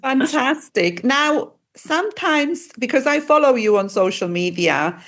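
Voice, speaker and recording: A woman's voice: high-pitched, sing-song, a little slow, fairly bright tone. Enthusiastic sixty-something woman host. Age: 60s. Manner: enthusiastic, effusive. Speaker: podcast host. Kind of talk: podcast interview.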